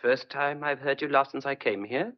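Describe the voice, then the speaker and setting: An actor playing a polite, restless and stubborn traveller, with courteous, clipped old-fashioned phrasing in a thriller audio drama. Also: male voice, mid-range voice, steady tone, moderate pace, dull audio, close to an everyday voice. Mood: apologetic, restless.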